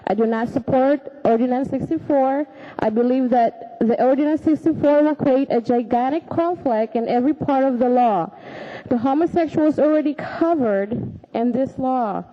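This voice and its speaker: Young woman. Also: high voice. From Alaska, raised in the Philippines. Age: 20s. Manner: earnest, halting, with fillers. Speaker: public commenter. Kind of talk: reading a prepared statement. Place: city assembly chamber. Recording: dull lo-fi meeting recording.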